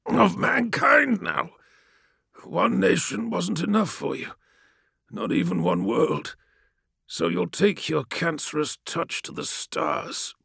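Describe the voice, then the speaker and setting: Dying old warlord priest, high and strained, gravelly, slow, screaming bitter defiance. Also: male voice, big pitch swings, bright, forceful tone, no room sound, clean studio sound, exaggerated voice. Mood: contemptuous. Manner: screaming, sneering tirade. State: wheezing from a chest wound.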